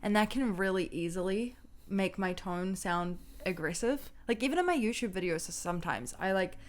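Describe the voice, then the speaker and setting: A young woman with a strong, loud voice, chatting casually as co-host of a marriage advice show. She tells her stories animatedly, slipping into little acted-out quotes. Her pitch sits in an ordinary middle range. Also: normal speed. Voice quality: low, loud voice. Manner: animated storytelling, acts out voices and quotes with laughs.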